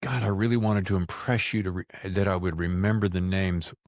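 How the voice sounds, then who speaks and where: A man's voice: low voice, fairly even intonation, brisk, a little mellow, dry recording. An American man hosting a podcast, talking in an effusive, jokey way. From United States. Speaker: podcast host. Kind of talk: scripted intro and casual interview chat.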